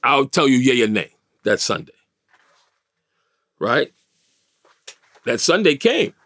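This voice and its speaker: A man in his fifties with a gravelly voice and a New York accent, telling a story in slangy, emphatic, streetwise interview talk. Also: mid-range voice, sing-song, slow, fairly bright tone, no room sound. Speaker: interview guest. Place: small indoor recording studio, dry sound.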